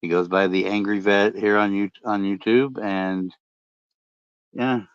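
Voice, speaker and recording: Deep-voiced man, rambling late-night chat. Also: fairly even intonation, a little slow, smooth, no room sound. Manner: rambling, many fillers and restarts. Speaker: podcast host and ham radio vlogger. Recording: dull lo-fi dry sound.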